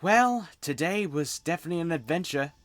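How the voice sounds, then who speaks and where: Guy acting cheeky young sidekick, teasing, highish voice that bounces around, faint music. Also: expressive, brisk, fairly bright tone, no echo. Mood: amused relief. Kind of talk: fan audio drama acting.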